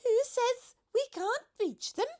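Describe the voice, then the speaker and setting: A woman's voice: very high voice, expressive, takes it slowly, a little mellow, pitched above the performer's own voice, exaggerated voice. A woman voicing a proud, superior female giraffe from a children's storybook, polite but haughty. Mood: indignant.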